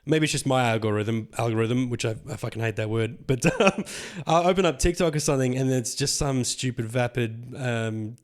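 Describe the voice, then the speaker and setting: A man with an ordinary mid-range voice, hosting a relaxed podcast conversation. He tells stories in a chatty, rambling way, stopping and restarting his sentences as he goes. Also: medium pitch, moderate pace, few pauses. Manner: chatty, restarts a lot, laughs at own stories. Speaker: podcast host and acting teacher. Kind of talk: casual podcast chat.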